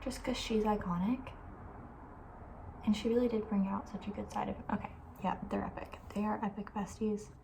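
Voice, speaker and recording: A woman talking softly and breathily in a casual, opinionated ASMR ramble, often slipping toward a whisper. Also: mid-range voice, steady tone, a little fast, gravelly, noisy background. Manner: chatty, informal, opinionated, trailing off. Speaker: ASMR video host. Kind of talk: soft-spoken ASMR, casual rambling chat.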